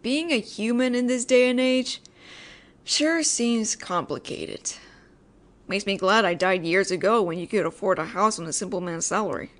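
A woman's voice: mid-range voice, normal speed, natural voice. A woman voicing a judgemental tsundere female ghost in an audio roleplay, sarcastic and nagging but secretly caring, speaking directly to the listener. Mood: judgemental, wry.

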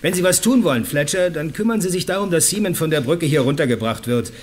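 A man's voice: fairly high pitch, lively intonation, fast talker, slight background noise, close to an everyday voice. An actor playing a bossy, sardonic man who leads a group of survivors in a German radio drama. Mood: commanding, defiant. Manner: commanding, sardonic.

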